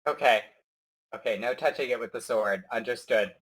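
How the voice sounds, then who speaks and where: A man playing a tabletop roleplaying game, talking in character with excitable stammering. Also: normal speed, breathy edge, poor audio. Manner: excitable, stammering, jumpy pitch. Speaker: player.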